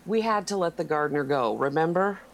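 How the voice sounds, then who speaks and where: An actress playing a stern, foreboding aunt in a gothic horror audio drama. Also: female voice, low-pitched, lively intonation, fairly quick. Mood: curt. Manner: sharp scolding, then foreboding. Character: stern, ominous aunt of a haunted family house.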